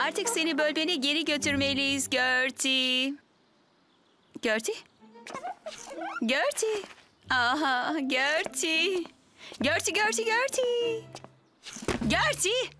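A woman's voice: sits high, lively intonation, takes it slowly, pauses often, music in the background. A woman voicing a kindly, playful animal keeper in a children's cartoon. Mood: calling out. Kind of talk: children's cartoon voice acting, Turkish dub.

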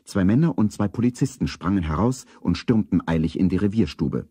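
An actor narrating a German children's radio play as a storyteller, in scripted narration. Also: male voice, low voice, lively intonation, fairly quick, dark tone, slightly rough, no echo. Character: calm storyteller of a children's detective tale.

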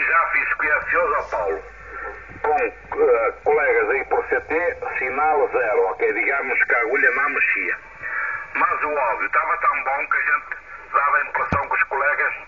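An older man from Portugal with a European Portuguese accent, chatting casually and repetitively as an amateur operator, heard through a shortwave radio. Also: medium pitch. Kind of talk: casual ham radio chat. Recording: dull, very noisy shortwave radio reception. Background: loud radio static and hiss.

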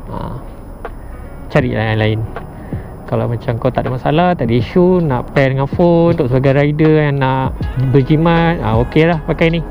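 A young Malaysian man with a fairly high voice chats casually through a product review. He talks in slang and drops in English words. The sound is slightly dull, with music clearly playing behind him. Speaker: motorcycle vlogger. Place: outdoors on a motorbike at a roadside. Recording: dull muffled audio, loud background music. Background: music added behind the voice.

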